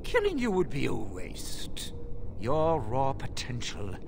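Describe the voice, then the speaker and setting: A smug, middle-aged sorcerer villain from a video game. His condescending drawl swings dramatically in pitch and sinks into creaky fry. He sounds theatrical and grandiose, over slight background noise. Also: huge pitch swings, slow pace, full character voice. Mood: coldly persuasive. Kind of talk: video game dialogue acting.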